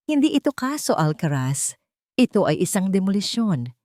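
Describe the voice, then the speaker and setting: A woman's voice: medium pitch, big pitch swings, moderate pace, a little mellow, no echo, clean studio sound, lightly acted. A woman voicing an older male general in an audiobook, cold and commanding, with heavy, clipped, emotionless delivery. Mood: commanding fury.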